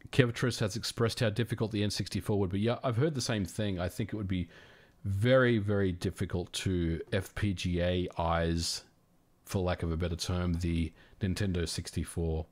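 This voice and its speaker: Man, Australian accent, somewhat low voice, livestream host, casual friendly chat, lots of fillers. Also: normal speed. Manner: relaxed, friendly, frequent fillers and restarts. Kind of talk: casual livestream chat reading viewer questions.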